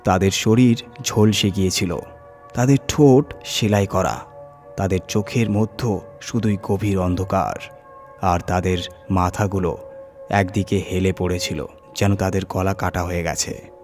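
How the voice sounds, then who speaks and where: Low-voiced man narrating horror. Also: fairly even intonation, a little slow, darkish tone, faint music behind. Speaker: horror story narrator, channel host. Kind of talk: dramatic scripted horror narration.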